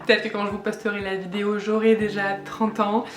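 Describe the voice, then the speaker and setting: A woman's voice: mid-range voice, level tone, brisk, echoey room. A woman in her late twenties from France, chatting casually to camera with lots of hesitations and fillers. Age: 20s. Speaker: vlogger. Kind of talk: casual vlog chat.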